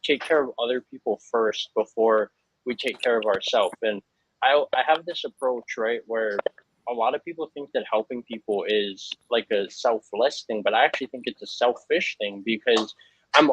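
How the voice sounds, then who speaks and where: A man's voice: mid-range voice, moderate pace, noisy background. A man from Hawaii speaking as a panel guest, earnest and thoughtful, with frequent fillers. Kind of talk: reflective panel talk. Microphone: video call. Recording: dull lo-fi, dry sound.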